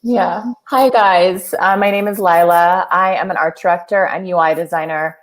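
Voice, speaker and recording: A woman from California speaking on an online panel in a relaxed, informal way. Her voice sits a little low and sounds easygoing. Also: normal speed. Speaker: art director, design mentor. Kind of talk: casual self-introduction.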